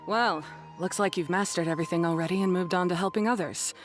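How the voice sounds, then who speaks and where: Woman as a blunt young female rival, slightly low, dry, curt, scornful, game character, faint music. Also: fast, lightly acted. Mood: coolly sarcastic. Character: blunt, aloof young female beast tamer, fiercely competitive. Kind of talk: video game voice acting.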